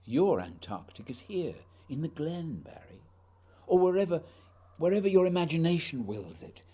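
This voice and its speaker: An actor playing a dutiful, reserved male polar expedition leader, measured, grave and formal, in a radio play. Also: fairly high pitch, big pitch swings, warm tone, breathy edge. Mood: gently firm.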